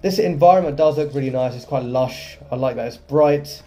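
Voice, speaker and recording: A man's voice: mid-range voice, moderate pace, mellow. A man giving casual gameplay commentary as the host of a gaming video.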